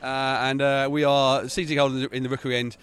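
A man hosting a casual football podcast outdoors, jokey and halting with fillers. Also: medium pitch, flat delivery, a bit bright, clear, resonant tone. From England. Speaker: podcast host. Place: outdoors near a stadium.

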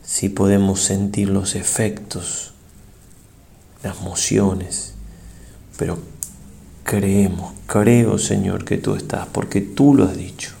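A man, a priest, leads a guided prayer meditation in a solemn, reverent and measured way, pausing between short phrases. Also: deep voice, level tone, creaky, warm tone, a little hiss or hum.

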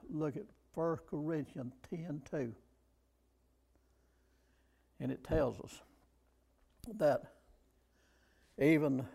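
A male preacher delivering a sermon with a gravelly, slightly raised voice. He speaks very slowly and drives each point home with emphatic rhetorical questions. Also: on the high side, darkish tone.

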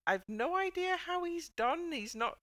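A woman's voice: very high-pitched, animated, a little slow, a bit bright, no room sound. A woman hosting a casual panel chat in a chatty, wry manner. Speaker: podcast host.

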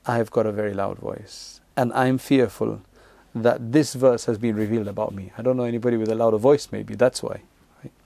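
A man delivers a religious lesson, quoting Arabic and then unpacking it in plain conversational English. His voice is gravelly and mellow, with a relaxed delivery. The sound is dry and close. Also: medium pitch, no echo. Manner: conversational, explains Arabic quotes in English with frequent asides. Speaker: Islamic teacher. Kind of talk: religious lecture retelling hadith.

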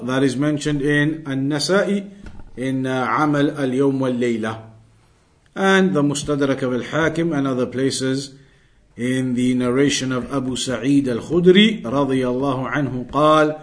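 A man giving a religious lesson, reading out Arabic texts and explaining them in English, restating key points as he instructs. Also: a little high. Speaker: religious teacher leading a weekly class.

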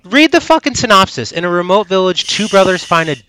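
A man co-hosting a casual podcast chat, relaxed and teasing as he talks. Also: high voice, fast talker, a little hiss or hum, rough recording. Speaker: podcast co-host.

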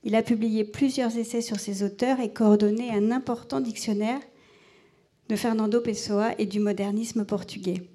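A woman's voice: fairly high pitch, steady tone, moderate pace, mellow. Polite woman introducing a speaker. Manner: polite, slightly hesitant reading. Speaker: event host. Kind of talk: formal introduction.

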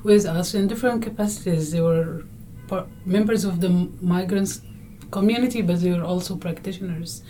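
A woman from Egypt speaks as a podcast guest on migration. Her voice sits fairly low, and she talks in measured, short phrases. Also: dark tone, faint music behind, poor audio. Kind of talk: casual podcast conversation.

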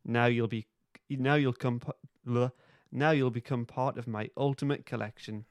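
A man's voice: medium pitch, fairly even intonation, moderate pace, no echo, close to an everyday voice. A man voicing a creepy, obsessive superfan villain who gloats with sinister menace in an amateur comic reading. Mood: gloating.

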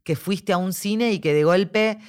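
Argentine woman, excited, chatty, mid pitch, talking up her project in an interview. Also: a little slow, clear, resonant tone. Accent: Argentine Spanish. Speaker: interviewee, immersive dome cinema organizer. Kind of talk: casual interview.

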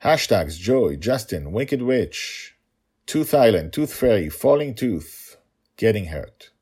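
A middle-aged man hosting a storytelling podcast, talking and narrating in an emphatic, playful way with deliberate repetition. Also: on the low side, big pitch swings, darkish tone, breathy edge. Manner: emphatic, playful, repeats himself for effect. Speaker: storytelling podcast host and author.

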